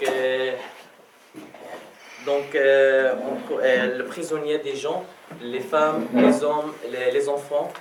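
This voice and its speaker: A young man from Palestine speaking French, giving a personal testimony as a guest speaker, often pausing and restarting his phrases. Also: medium pitch, background noise. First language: Arabic. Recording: roomy, distant mic, faint background ambience.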